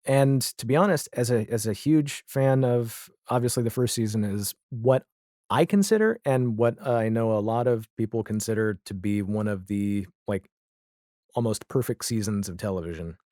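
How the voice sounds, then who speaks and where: A man's voice: fairly low pitch, moderate pace, warm tone, no room sound, clean studio sound. A man talking casually as a podcast guest, retelling things in an opinionated way with emphatic asides and mimicked quotes. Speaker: podcast guest, audio drama creator.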